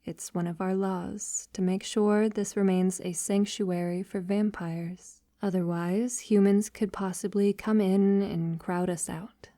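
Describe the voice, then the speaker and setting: Woman acting a soft, mellow vampire lover. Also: medium pitch, fairly even intonation, no room sound, studio quality, lightly acted. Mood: thoughtful, gentle. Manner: soft, slow, breathy delivery slipping into whispers. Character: tender, devoted female vampire lover, refined and possessive. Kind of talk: ASMR romantic audio roleplay.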